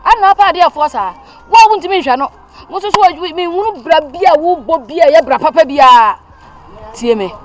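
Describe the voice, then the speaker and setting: A woman's voice: fairly high pitch, lively intonation, fast. An actress playing a mother who lectures her child at length, with emphatic repeats. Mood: stern lecturing. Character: stern older mother lecturing, then pleading with her child. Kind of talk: Twi-language family drama acting.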